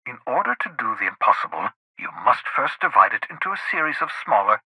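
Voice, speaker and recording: Eccentric mad scientist man from a video game, super swingy pitch, schemer, very emphatic, close dry sound. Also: big pitch swings, brisk, sharp tone, breathy edge, no echo, exaggerated voice, low-quality recording. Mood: scheming, animated. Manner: theatrical, articulate, emphatic, swinging between fervour and muttered asides. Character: eccentric, excitable fugitive male scientist, idealistic schemer. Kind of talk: video game character dialogue.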